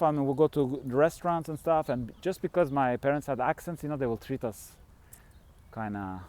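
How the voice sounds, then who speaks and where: A man's voice: medium pitch, a little mellow. A middle-aged man from Switzerland, rambling candidly through a casual vlog monologue with the odd chuckle. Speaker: fasting coach and vlogger.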